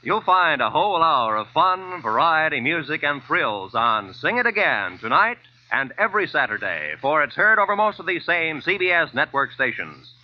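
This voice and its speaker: A man's voice: fairly high pitch, very animated, moderate pace. An American man announcing scripted credits and programme notes in the style of 1940s network radio. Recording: muffled vintage radio broadcast with music.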